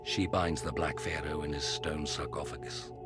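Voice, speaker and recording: Very deep, solemn priestly father. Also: level tone, normal speed. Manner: solemn, ritual incantation. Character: solemn aged father, high priest presenting his children. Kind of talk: ceremonial video game acting. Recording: loud music over the voice.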